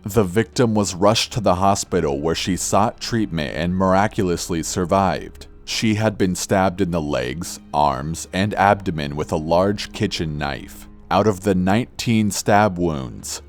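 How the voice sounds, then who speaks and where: A man reading a scripted true-crime narration in a measured, somber manner. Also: deep voice, a little mellow. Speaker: narrator of horror videos. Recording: background music under narration. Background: music.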